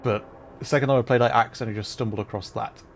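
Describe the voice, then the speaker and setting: A man's voice: mid-range voice, steady tone, rapid-fire, a little hiss or hum. A man giving casual, playful livestream gameplay commentary, self-deprecating and thinking aloud in repeated little phrases. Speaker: livestreamer playing a puzzle game.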